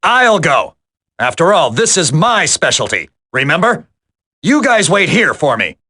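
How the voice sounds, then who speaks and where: Bright, animated self-assured specialist. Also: male voice, fairly high pitch, measured pace, heavily acted. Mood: confident. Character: self-assured male tech specialist soldier, calmly capable. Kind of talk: dramatic video game voice acting.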